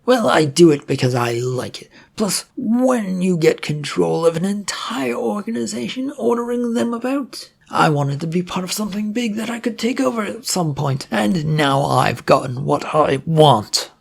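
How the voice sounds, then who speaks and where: A man's voice: high-pitched, animated, moderate pace, gravelly, few pauses, husky, pitched above the performer's own voice, exaggerated voice. A man voicing a cocky, irritable villain. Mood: boastful. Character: brash, irritable villainous young man, spoiling for a fight.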